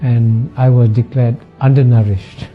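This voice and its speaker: A man in his seventies from Singapore with a Singaporean English accent, a former dean giving a measured, reflective talk with frequent "uh" pauses and soft laughs. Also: medium pitch, fairly even intonation, phone-quality sound, soft background music. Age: 70s. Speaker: former dean of a public policy school.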